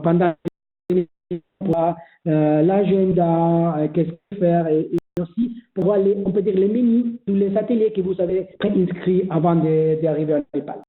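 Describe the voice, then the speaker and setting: Homme au français hésitant, son de téléphone. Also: male voice, a little high. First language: not French. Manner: hesitant, many fillers and restarts. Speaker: webinar presenter. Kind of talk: explaining online registration. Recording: echoey phone-like webinar audio.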